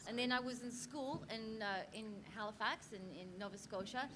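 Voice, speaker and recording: A woman's voice: on the high side, normal speed. A woman from South Africa, a voice actress on a convention panel, chatting in a self-deprecating way and laughing often. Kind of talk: casual panel chat. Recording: noisy convention hall mic.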